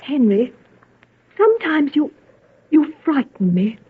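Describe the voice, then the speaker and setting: An actress playing a sweet, spirited young sweetheart in a vintage radio drama, with genteel old-fashioned diction. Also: female voice, high voice, sing-song, takes it slowly, heavily acted. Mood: frightened. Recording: tinny, noisy old radio recording.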